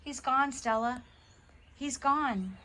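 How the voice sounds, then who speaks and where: Eager, emphatic young boy for a storybook, played by a woman, raised bright voice. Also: high voice, takes it slowly, a little hiss or hum, voice pushed up, exaggerated voice. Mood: upset. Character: excitable, big-dreaming young boy in a storybook.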